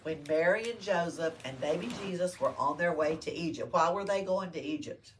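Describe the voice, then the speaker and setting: A woman reads aloud to children in a teacherly, expressive way, pausing to ask questions. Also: lowish voice. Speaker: library story-time reader. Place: library room with children. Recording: faint background room noise.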